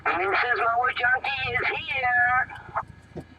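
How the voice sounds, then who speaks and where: A woman's voice: fairly high pitch, slow pace, sharp tone. A woman giving casual replies as a radio partner at a base station. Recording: distant noisy radio pickup.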